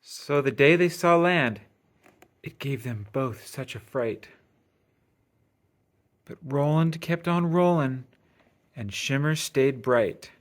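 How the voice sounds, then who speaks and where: A man reading a rhyming children's picture book aloud in an expressive, sing-song storytelling manner. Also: mid-range voice, slow pace, pauses often. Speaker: storybook reader.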